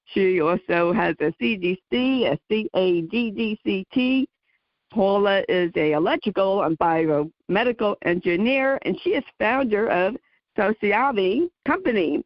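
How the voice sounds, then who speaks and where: Woman radio host, chatty, mid pitch, dry muffled sound. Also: lively intonation, measured pace, dry recording. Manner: warm, chatty, interjects with laughs. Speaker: radio talk show host. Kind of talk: radio interview.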